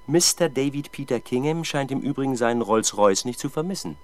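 A male actor as a matter-of-fact police sergeant of medium pitch. He reports diligently and persistently, with respect for his superior, in the style of 1970s radio crime drama. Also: lively intonation, brisk, a little mellow, lightly acted. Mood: businesslike.

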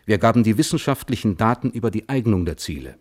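An actor playing a guarded, reflective physicist on the witness stand in a German radio play, answering tersely and precisely. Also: male voice, lowish voice, animated, fast, a little mellow. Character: measured, guarded male physicist testifying as witness. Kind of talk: German radio play acting, hearing testimony.

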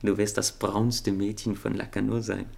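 An actor playing a wry, dejected French intellectual in a German radio play, speaking with irony and some hesitation. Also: male voice, on the low side, level tone, normal speed, creaky, darkish tone. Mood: musing.

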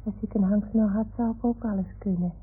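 A woman playing a plucky, boastful little toy dog in a radio play, with a childlike, eager manner and a husky voice. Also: medium pitch, fairly even intonation, brisk. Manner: childlike, naive. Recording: muffled old radio drama, narrow band.

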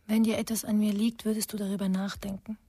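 Radio drama: female actor as reproachful partner, dark mellow tone, fairly low, subdued, faint music behind. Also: flat delivery, fast talker, husky, no echo, studio quality, natural voice. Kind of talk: intimate crime radio play acting.

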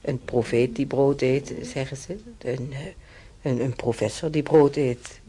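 A man's voice: mid-range voice, fairly even intonation, measured pace, warm tone, husky. An actor in a radio play voicing a chatty, stubborn old German-born man who rambles through his memories with hesitations. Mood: amused reminiscing. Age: older. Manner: rambling, reminiscing, with hesitations and tag questions. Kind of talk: conversational radio play acting.

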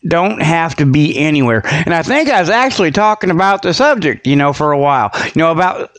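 A man's voice: a little high, lively intonation, brisk, a bit bright. A man hosting a radio show with a rambling, folksy comedic monologue, peppered with filler phrases and digressions. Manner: folksy, digressive, peppered with "okay" and "you know"; chuckles at own jokes.

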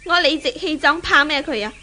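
A woman's voice: high-pitched, moderate pace, sharp tone. A woman acting in a 1970s Cantonese radio drama as a proud young engaged lady with a Hong Kong accent. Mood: indignant.

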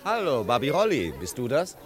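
A perplexed nobleman in an old radio play. His mid-pitched voice moves expressively up and down, with a faint crowd behind. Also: wildly swooping pitch, moderate pace. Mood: puzzled. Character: puzzled male marquis questioning his servant. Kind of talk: 1950s German radio play acting.

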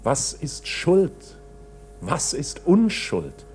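An actor playing a tense, stubborn young nephew in a radio drama, controlled, terse and brooding. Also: male voice, fairly high pitch, wildly swooping pitch, drawn-out words, a little mellow, slight background noise, full character voice. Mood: brooding, defiant. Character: tense, stubborn bank clerk nephew under accusation.

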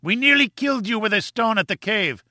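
A man reading an audiobook, voicing a vengeful, half-mad male villain who taunts in a shrill, gloating way. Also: expressive, a little fast, pushed and bright, no echo, studio quality, heavily acted.

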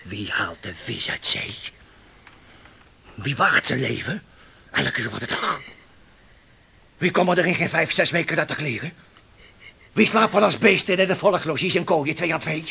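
A man's voice: high voice, creaky, sharp tone, breathy edge, heavily acted. An actor in a Dutch radio play, playing a defiant, hot-blooded young fisherman with a blunt, impassioned delivery.

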